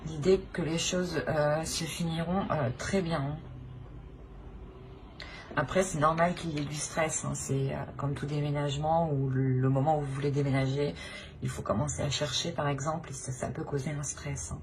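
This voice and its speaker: A woman's voice: low voice, fairly even intonation, normal speed. A woman giving an unscripted tarot reading to viewers, hesitant, repeating phrases and often tagging on a "hein". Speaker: tarot reader hosting her channel. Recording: noticeable background noise.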